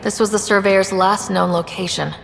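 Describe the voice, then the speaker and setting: A woman's voice: mid-range voice, steady tone, moderate pace, gravelly, in a large hall, a little hiss or hum, natural voice. A woman playing a determined young explorer leading a sci-fi expedition in a video game. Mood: inquisitive, businesslike.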